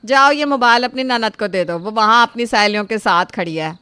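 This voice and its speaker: A woman's voice: a little high, moderate pace, background noise, close to an everyday voice. A woman playing a greedy, haughty middle-aged mother-in-law in a story drama, condescending and bossy in manner. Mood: grudging, condescending. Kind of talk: dramatised Hindi moral story acting.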